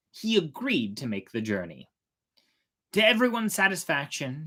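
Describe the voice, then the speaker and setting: A storyteller narrating a folk tale in a theatrical way, with drawn-out, emphatic words. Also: mid-range voice, a bit bright. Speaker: storyteller, host of a storytime show.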